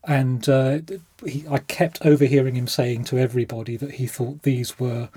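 Husky-voiced man, earnest. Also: mid-range voice, level tone, moderate pace, mellow, no echo. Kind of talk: casual podcast chat.

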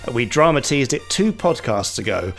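A man's voice: mid-range voice, very animated, moderate pace, fairly bright tone, soft background music. Chatty man co-hosting a podcast. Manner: chatty, self-deprecating. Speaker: podcast co-host. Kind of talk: casual chat and announcements.

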